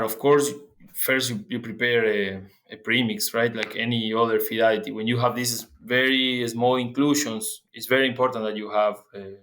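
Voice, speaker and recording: Halting man from Argentina, guest researcher. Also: mid-range voice, fairly bright tone. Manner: halting, frequent restarts and fillers. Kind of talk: interview.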